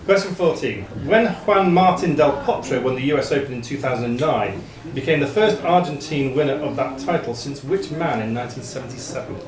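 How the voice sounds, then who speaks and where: A man's voice: medium pitch, animated, brisk. A man reading quiz questions aloud to contestants as the quizmaster. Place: pub quiz room. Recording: echoey pub room, distant mic, crowd noise.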